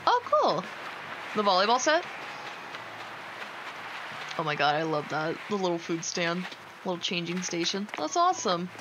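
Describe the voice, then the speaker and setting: A woman's voice: fairly low pitch, huge pitch swings, measured pace, long pauses, background noise. A woman chatting casually while she plays a video game, reacting with enthusiastic, gushing exclamations. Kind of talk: casual gaming chat and reactions.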